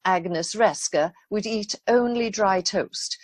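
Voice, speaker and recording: A woman's voice: medium pitch, takes it slowly, no echo. A woman acting an aggrieved, imperious lady of the manor in a radio play, formal and reproachful. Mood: gloomy, fretful.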